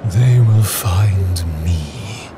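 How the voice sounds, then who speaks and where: A sinister alien warrior of darkness, broods in a deep voice at a very slow pace, with loud music behind. The figure is hateful, patient and full of contempt, like a sci-fi villain. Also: male voice. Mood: brooding contempt. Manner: brooding, menacing, disdainful.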